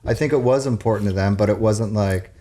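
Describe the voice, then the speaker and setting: An American man from Nevada in a casual, reflective chat, thinking aloud in a halting way. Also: fairly low pitch, crowd noise. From Reno area, Nevada, United States. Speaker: musician guest.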